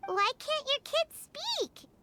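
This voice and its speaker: A woman voicing a small, excitable, naive fairy companion with a childlike manner in a video game. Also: extremely high pitch, slow, very noisy, full character voice. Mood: curious. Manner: refers to self in third person, exclaims.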